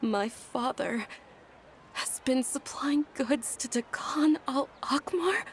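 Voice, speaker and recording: An actress playing a well-bred, caring young noble lady in a video game, with a polite, gentle, articulate manner. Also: sits high, takes it slowly, a little hiss or hum. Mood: concerned, thoughtful. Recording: faint game music behind the voice.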